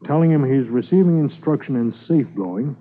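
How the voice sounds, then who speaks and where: Casting: male actor as dogged Mountie sergeant, mid-pitched, clipped and respectful, old band-limited radio sound. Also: lively intonation, fairly quick, lightly acted. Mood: grimly determined. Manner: clipped, matter-of-fact. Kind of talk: 1950s radio drama acting. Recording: dull narrow-band old radio recording.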